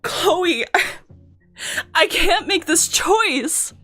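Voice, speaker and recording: A woman playing a desperate, devoted young woman in a dramatic fan scene, pleading with drawn-out, emotional words. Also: high-pitched, a bit bright, faint music behind, pitched above the performer's own voice. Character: desperate, devoted young woman refusing to lose her friend.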